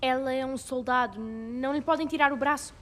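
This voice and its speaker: An actress dubbing an earnest, worried young teenager in European Portuguese for a video game drama. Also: female voice, sits high, quick pace, a crowd in the background, lightly acted. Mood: protective.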